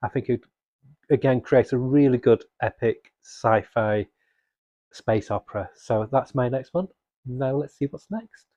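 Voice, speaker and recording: A man's voice: mid-range voice, measured pace, mellow, no echo, dull audio. Casual, enthusiastic man, very dry recording. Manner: enthusiastic, rambling. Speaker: comics video presenter. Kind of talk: casual unscripted fan commentary.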